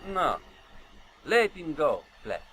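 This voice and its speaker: An actor playing a smooth, quietly threatening thug boss in a video game, speaking with falsely polite manners. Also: male voice, on the high side, wildly swooping pitch, takes it slowly, full character voice. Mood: smooth menace. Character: smooth, menacing thug boss posing as official. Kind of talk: video game character acting.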